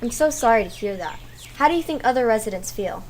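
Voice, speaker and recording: A woman playing a polite 1920s street reporter, asking brisk scripted questions of locals. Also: on the high side, expressive, a little mellow, slight background noise, natural voice. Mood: polite, inquiring. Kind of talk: scripted news interview.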